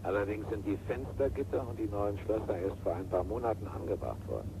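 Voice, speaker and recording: A man playing a calm, matter-of-fact official in a German radio crime drama. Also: fairly low pitch, a little fast, vocal fry. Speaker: actor. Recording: slightly noisy, quiet radio play recording.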